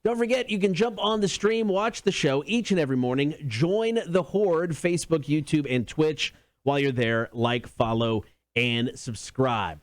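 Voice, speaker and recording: A man's voice: fairly high pitch, moderate pace, dry recording. A man co-hosting a casual entertainment talk show, chatting informally. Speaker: show co-host.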